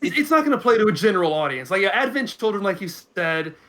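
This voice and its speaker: A man giving casual commentary as a guest, halting and often restarting his phrases. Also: sits high, very animated, fairly bright tone. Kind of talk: casual chat.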